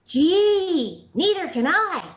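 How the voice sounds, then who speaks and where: A woman's voice: extremely high pitch, sing-song, slow, exaggerated voice. A woman playing an eager, pestering little neighborhood girl in 1940s radio comedy style, childlike and full of repeated questions. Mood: admiring, excited. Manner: childlike, repeats questions, says gee and I betcha. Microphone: video call. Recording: roomy, echoing video call audio.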